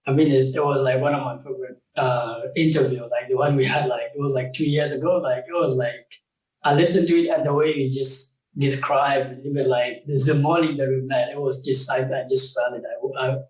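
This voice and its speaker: A young man from South Sudan talks as an interview guest, halting and full of fillers, with a voice of middle pitch. He sits a little away from the microphone, so the sound is roomy and echoing. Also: fairly even intonation, distant mic. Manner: halting, many fillers and restarts, laughs often. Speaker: guest, game company founder. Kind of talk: casual interview conversation.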